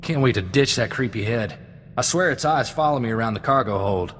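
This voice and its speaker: A man's voice: medium pitch, animated, fairly quick, fairly bright tone, long reverb tail, a little hiss or hum, close to an everyday voice. An actor playing a young, plainspoken, feisty male gunslinger sidekick in a video game. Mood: uneasy.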